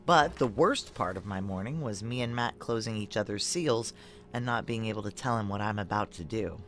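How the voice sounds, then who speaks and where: A woman's voice: very deep voice, normal speed, faint music behind. A woman acting a tough, guarded female security chief in a noir audio drama, terse, wry and confiding. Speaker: lead character and narrator.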